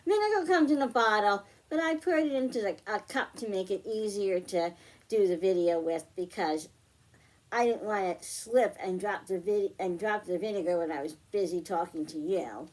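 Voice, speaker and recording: A woman's voice: mid-range voice, normal speed, darkish tone, poor audio. A woman hosting a children's science storytime, explaining a hands-on demonstration step by step in simple, patient phrasing. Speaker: children's librarian, storytime host.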